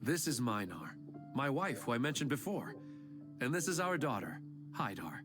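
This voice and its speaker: An actor playing a humble, sincere middle-aged father who talks in a gentle, plain-spoken way, over game music. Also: mid-range voice, sing-song, moderate pace, lightly acted. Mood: earnest. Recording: game audio with music behind.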